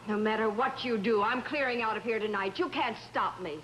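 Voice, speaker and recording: An actress playing a bitter, defiant younger wife in an old-time melodrama, sharp and scornful in delivery. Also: female voice, a little high, a little fast, lightly acted. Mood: agitated, threatening. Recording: noisy vintage TV drama soundtrack.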